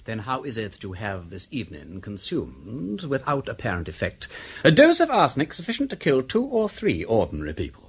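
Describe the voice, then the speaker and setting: An actor playing a flippant, witty English aristocrat detective in a radio drama, urbane and drawling, teasing with mock politeness. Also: male voice, mid-range voice, wildly swooping pitch, a little fast, husky, full character voice. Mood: mocking, coolly accusing. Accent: upper-class English.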